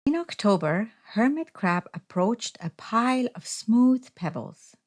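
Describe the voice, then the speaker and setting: A woman reading a children's story aloud as narrator, in a deliberate, expressive storytelling manner. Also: fairly high pitch. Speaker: storybook reader.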